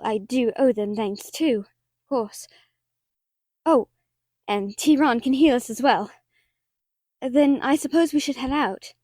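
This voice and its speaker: A woman playing a wry, affectionate young merchant in a fantasy audio drama, gently teasing and a little hesitant. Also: high-pitched, no echo, clean studio sound. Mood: relieved, reassuring.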